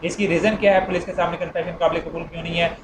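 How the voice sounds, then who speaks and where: A man's voice: a little high, level tone, racing. A man with a Pakistani accent gives an informal explanatory lecture, repeating and rephrasing his points and mixing in English legal terms. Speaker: law lecturer. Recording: roomy, dull, clearly noisy recording.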